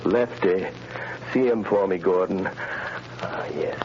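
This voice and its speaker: A man playing a mysterious invisible crime fighter, speaking in measured, authoritative commands in 1930s radio drama style. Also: deep voice, lo-fi sound. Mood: intent. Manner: measured, hypnotic, authoritative instructions. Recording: scratchy, hissy 1930s radio broadcast.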